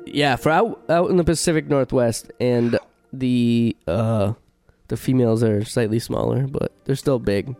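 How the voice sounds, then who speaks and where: Earnest, smooth-voiced podcast host. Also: male voice, mid-range voice, unhurried, few pauses, a little mellow, soft background music. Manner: earnest, laughing, explains with conviction. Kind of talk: casual chat.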